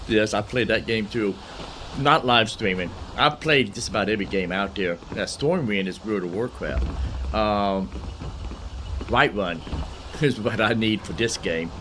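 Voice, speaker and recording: Male gaming streamer, lowish voice, folksy unhurried play-by-play, loud game ambience behind him. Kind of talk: casual gameplay commentary. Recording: loud background noise under commentary. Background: game ambience and dialogue.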